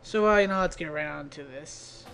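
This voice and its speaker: A man's voice: fairly high pitch, moderate pace, gravelly, a bit bright, soft background music. A man giving a casual, off-the-cuff commentary on his own videos in a self-deprecating, grumbling way. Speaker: creator of an abridged parody series.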